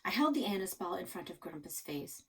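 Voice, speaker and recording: A woman's voice: lowish voice, very animated, a little fast, a bit bright, breathy edge. Woman reading a children's book aloud. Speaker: library storyteller. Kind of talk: expressive children's book read-aloud narration.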